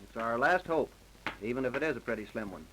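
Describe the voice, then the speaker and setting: An actor playing a gruff, plain-spoken police captain in a 1930s radio crime drama. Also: male voice, mid-range voice, expressive, quick pace, noisy background, lightly acted. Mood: discouraged. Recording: vintage 1930s radio recording.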